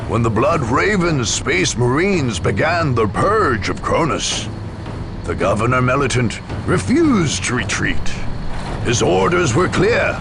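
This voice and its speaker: A man delivering scripted dramatic narration for a war game's story, in a grave, portentous, measured storytelling manner. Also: mid-range voice, big pitch swings, creaky, noisy background. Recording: dramatic music behind the voice. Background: music.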